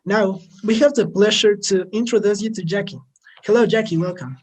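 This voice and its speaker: A man's voice: sits high, normal speed. A male moderator reading a prepared project introduction in a formal, scripted manner over a video call. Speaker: webinar moderator.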